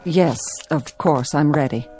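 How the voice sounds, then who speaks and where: A former English teacher who meets her fate calmly. Her voice stays gentle and resigned, with a quiet dignity. Faint music runs underneath. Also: low voice, measured pace.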